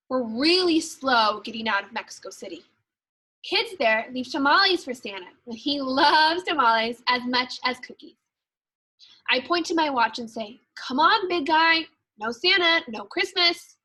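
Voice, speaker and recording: Woman reading as a high, chipper little Christmas elf. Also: expressive, measured pace, sharp tone, heavily acted, rough recording. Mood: worried, hurried. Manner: chipper, punctual, confiding asides. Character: eager, fretful little male Christmas elf narrating his memoir. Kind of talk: children's storybook read-aloud.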